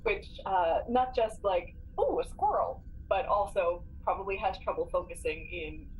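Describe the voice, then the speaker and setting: A woman chatting casually as a player in a tabletop game, upbeat and expressive. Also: mid-range voice, normal speed, slight background noise, poor audio.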